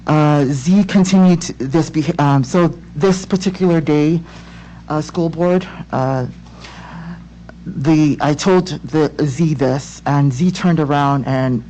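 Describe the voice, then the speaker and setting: A woman from Tonga speaking as a parent in public comment at a school board meeting, earnest and halting, with many restarts. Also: low-pitched, dark tone, a little hiss or hum, low-quality recording. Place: home room on a video call. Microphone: laptop or webcam mic on video call.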